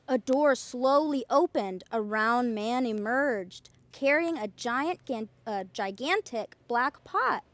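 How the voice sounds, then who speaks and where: A woman reading a children's storybook aloud as a playschool storyteller, expressive, deliberate and emphatic. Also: fairly high pitch, dry recording.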